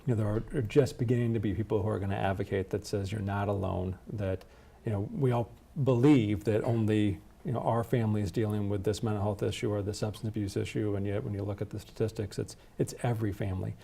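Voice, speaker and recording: A man speaking as an expert interview guest, explaining and advocating in measured, deliberate phrases. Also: fairly low pitch, fairly even intonation, creaky, dark tone, slightly rough. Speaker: interview guest, healthcare executive.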